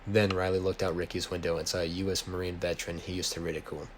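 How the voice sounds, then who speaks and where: A man's voice: deep voice, flat delivery, quick pace. An American man reading his own written story aloud as an amateur storyteller. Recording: noisy home recording.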